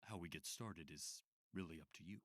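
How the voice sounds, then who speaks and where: An actor playing a probing psychiatrist who runs an institute, speaking in a measured, formal way in an audio drama. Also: male voice, low-pitched, fairly bright tone, dry recording, clean studio sound. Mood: politely probing. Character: smooth, probing male psychiatrist running an institute.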